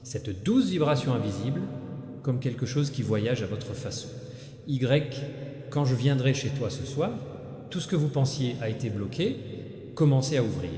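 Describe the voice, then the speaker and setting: Man, dark mellow tone, medium pitch, solemn halting inspirational monologue, heavy echo. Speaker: narrator of spiritual messages. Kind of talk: scripted inspirational monologue addressed to the listener. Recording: echoey hall with long reverb.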